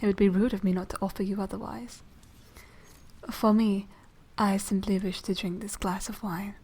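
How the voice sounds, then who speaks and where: A woman playing a polite, secretive young noble vampire lady in a soft, half-whispered ASMR roleplay, speaking formally and with measured care. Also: mid-range voice, level tone. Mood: polite, slightly evasive. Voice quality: soft, breathy whispering edge. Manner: hushed, formal, measured, genteel.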